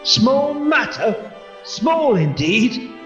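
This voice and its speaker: In a dull, echoey radio play recording, a man plays an elderly miser. His voice is high and unhurried, breaking into stammers and theatrical exclamations. He comes across as frightened, remorseful and full of wistful longing for his past. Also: big pitch swings, fairly bright tone, faint music behind, heavily acted. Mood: earnest.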